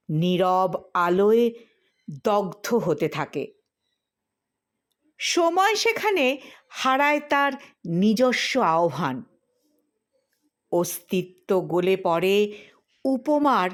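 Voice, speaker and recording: Slow-paced woman reciting poetry. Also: medium pitch. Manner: measured, short phrases with deliberate pauses.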